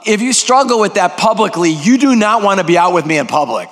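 Older man, guest preacher, loud and energetic, high voice, long church-hall reverb. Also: a little fast. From Greek heritage. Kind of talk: energetic sermon with jokes. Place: large church sanctuary.

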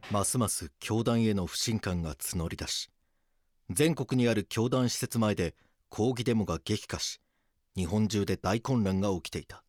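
An actor playing an earnest police detective who narrates his case in a grave, measured way for an audio drama. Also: on the low side, darkish tone, no echo, studio quality, natural voice. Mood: grave narration.

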